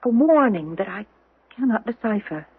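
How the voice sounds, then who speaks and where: An actress playing a troubled young Roman woman haunted by visions of doom, in a dramatic, halting, foreboding old-time radio style. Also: a little high, sing-song, husky, heavily acted. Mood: uneasy, foreboding. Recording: muffled vintage 1970s radio broadcast.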